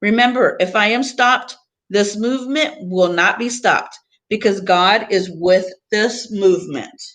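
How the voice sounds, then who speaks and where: A woman teacher reading a picture book aloud to students, phrasing deliberately in short pieces and adding teaching asides. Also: mid-range voice, a bit bright.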